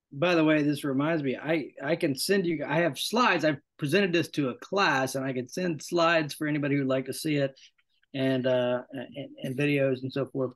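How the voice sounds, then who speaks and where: A man leading a book discussion, explaining ideas like a teacher. He speaks in an animated way, and his pitch jumps upward when he stresses a point.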